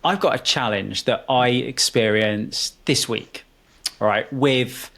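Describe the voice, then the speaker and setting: A male podcast host chats loosely with his guest. His talk rambles and is dotted with quick affirmations. His voice sits at a middle pitch. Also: vocal fry, a bit bright. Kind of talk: conversational podcast interview.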